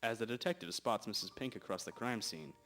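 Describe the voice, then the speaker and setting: A man's voice: on the low side, a little fast, a bit bright. A man narrating a scripted comic radio drama in a mock-dramatic storytelling manner. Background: music.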